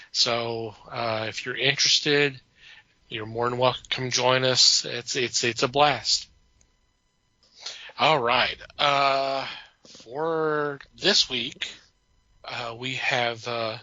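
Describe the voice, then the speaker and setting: Bright-voiced man hosting, dull lo-fi sound. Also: mid-range voice, dry recording. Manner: halting, repeats himself. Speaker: podcast host. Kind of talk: casual podcast chat and announcements. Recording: dull lo-fi voice track.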